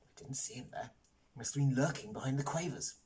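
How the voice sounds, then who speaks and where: A man voicing an earnest, embarrassed, chatty newsagent who confides in hushed tones, in a children's comedy audiobook. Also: medium pitch, quick pace, breathy edge, pitched above the performer's own voice. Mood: flustered.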